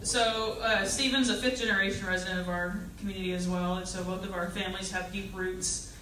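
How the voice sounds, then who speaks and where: A middle-aged woman introduces herself as a candidate at a public forum, speaking in a halting, personable way. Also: mid-range voice, fairly even intonation. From Fayette County, Texas, United States. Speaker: political candidate. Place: public meeting hall, indoors. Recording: distant echoey room, faint noise.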